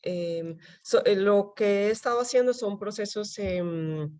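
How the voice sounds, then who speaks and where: A woman with a slight Colombian accent, answering audience questions about paper conservation after a lecture, pausing often with fillers. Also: medium pitch, dark tone, no echo. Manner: hesitant, many fillers, technical.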